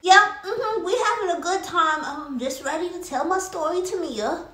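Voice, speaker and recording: A woman playing a mischievous little girl in a comedy skit, telling tall tales in a theatrical, drawn-out way. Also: very high-pitched, a bit bright. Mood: sly. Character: mischievous little girl Coco, cookie-loving tall-tale teller. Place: small bedroom, indoors. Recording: roomy echo, faint music behind.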